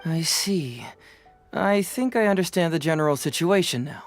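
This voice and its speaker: An actor voicing a confident, composed young detective in a video game, breezy and probing. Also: male voice, a little high, animated, moderate pace, soft background music. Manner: self-assured, probing.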